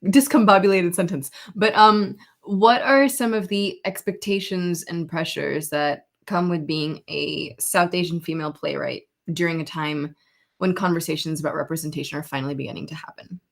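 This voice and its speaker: Lady podcast host, voice a bit low, chatty interview style, kinda tentative wording. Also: steady tone, moderate pace, creaky. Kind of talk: conversational interview.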